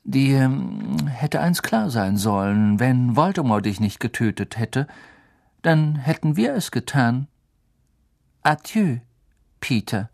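A man's voice: medium pitch, animated, slow pace, gravelly, darkish tone, heavily acted. A man reading an audiobook, voicing a grim, bitter man confronting a traitor, in a hard, accusing tone. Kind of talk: audiobook character voice.

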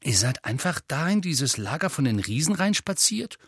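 A man's voice: medium pitch, animated, a little slow, breathy edge, no echo, studio quality, pitched above the performer's own voice. A man voicing a curious teenage boy wizard who asks eager, incredulous questions. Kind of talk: audiobook character voice.

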